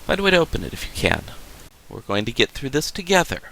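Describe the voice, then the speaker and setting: An actor playing a calm, probing male doctor in a video game, measured and questioning as he counsels a patient. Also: mid-range voice, vocal fry, full character voice, poor audio. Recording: faint steady hiss.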